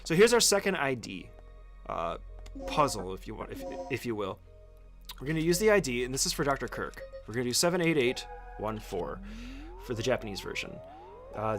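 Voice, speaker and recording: A man's voice: medium pitch, sing-song, normal speed, faint music behind. A man doing casual, conversational speedrun tutorial commentary, explaining each step in an informal way.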